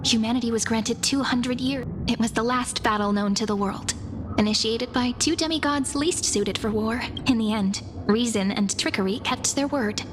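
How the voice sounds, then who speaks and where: A woman voicing a gentle, resolute young heroine in a fantasy game, telling a legend in a solemn, measured way. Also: fairly high pitch, background noise, lightly acted. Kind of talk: scripted fantasy game narration. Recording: music clearly behind.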